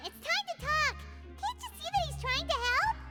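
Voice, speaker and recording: Squeaky, very high childlike fairy. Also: female voice, very animated, normal speed, over music. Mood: indignant. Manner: exclamatory, refers to self in third person. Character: tiny childlike floating fairy companion, excitable and bossy. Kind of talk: anime game voice acting.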